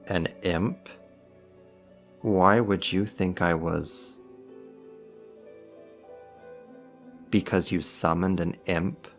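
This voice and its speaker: Man voicing a deep, slow, mocking demon. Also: fairly even intonation, faint music behind. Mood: mock servile, teasing. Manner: sarcastic, teasing, drawn-out mock politeness. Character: powerful male demon, sly, mocking, condescending. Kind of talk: ASMR roleplay, slow scripted monologue to the listener.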